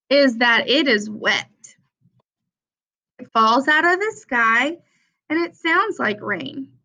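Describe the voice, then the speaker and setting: A young adult woman reading a children's picture book aloud with simple, deliberate phrasing for young listeners. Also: fairly high pitch, fairly bright tone. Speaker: read-aloud presenter for children. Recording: dull, lo-fi sound.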